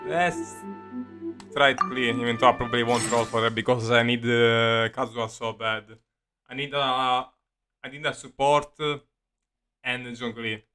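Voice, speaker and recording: A man's voice: mid-range voice, fairly even intonation, measured pace. A man giving casual, rambling gameplay commentary as a streamer, with muttered asides and some swearing. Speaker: game streamer. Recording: loud game music behind voice.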